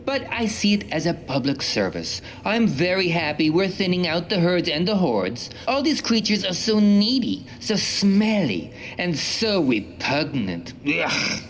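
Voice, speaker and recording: A woman's voice: lowish voice, sing-song, unhurried, hardly any pauses, a little hiss or hum, poor audio. A woman playing a vain, contemptuous female galactic despot in a campy sci-fi comedy sketch, pompous and whiny. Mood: smug disdain. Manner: pompous, disdainful.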